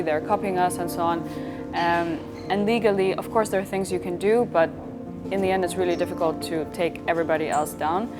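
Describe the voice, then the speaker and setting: A woman from Switzerland talking as a company founder in an interview, telling stories in a casual, conversational way and quoting imagined dialogue. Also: fairly low pitch. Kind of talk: interview storytelling in casual speech. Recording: clear background music.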